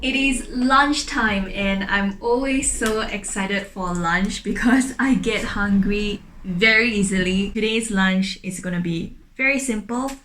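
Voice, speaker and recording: A woman's voice: mid-range voice, measured pace, few pauses, fairly bright tone, soft background music. A young adult woman with a Singaporean accent, talking casually to camera in a vlog at home. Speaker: vlogger. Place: indoors in an open-plan apartment living room and kitchen.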